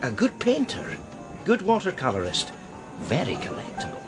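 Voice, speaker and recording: An actor playing a discreet male art dealer in a radio crime drama, speaking in a deliberate, hesitant, euphemistic way. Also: on the high side, huge pitch swings, over music. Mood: informative, curious. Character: male art dealer George, heartbroken, guarded, discreet old friend.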